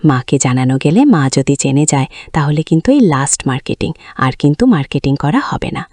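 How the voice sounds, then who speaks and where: A woman's voice: low voice, very animated, brisk, a little mellow, dry recording. A woman voicing an indulgent father in a family story, coaxing and reassuring his only daughter. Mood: placating.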